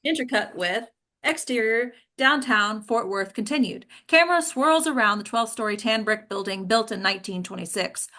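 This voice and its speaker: A woman's voice: a little high, lively intonation, moderate pace, pushed and bright. A woman reading screenplay action lines as scripted narration at a table read. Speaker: stage directions reader.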